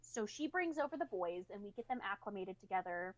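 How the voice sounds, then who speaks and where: A woman's voice: mid-range voice, animated, brisk. A woman telling personal stories in a casual, candid chat with informal wording. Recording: slightly dull, lo-fi audio.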